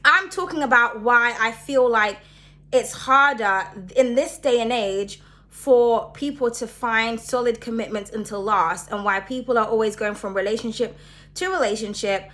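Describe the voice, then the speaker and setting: A woman's voice: a little high, normal speed, sharp tone. A young woman hosting her own channel, talking casually and rambling, sniffly from hay fever and often tagging "okay?" onto her points. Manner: chatty, rambling, keeps asking "okay?". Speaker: channel host. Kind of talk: casual solo talk to camera.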